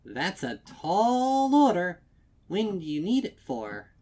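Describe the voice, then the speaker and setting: A man voicing an anxious young female pony shopkeeper with a bright, overeager, strained cheerfulness. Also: high-pitched, huge pitch swings, takes it slowly, slight background noise, pitched above the performer's own voice, full character voice. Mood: forced cheer.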